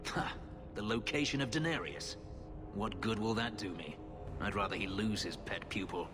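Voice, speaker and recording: An actor playing a brooding, bitter elf warrior and escaped slave in a video game, curt and intense. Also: male voice, fairly low pitch, normal speed, over music, lightly acted. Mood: cold contempt. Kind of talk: dramatic video game acting.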